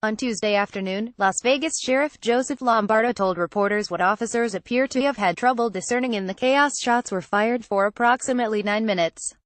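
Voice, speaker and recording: A woman's voice: a little high, brisk, few pauses, no room sound. A woman reads scripted news aloud as a narrator, in a synthetic, literal manner. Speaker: news reader.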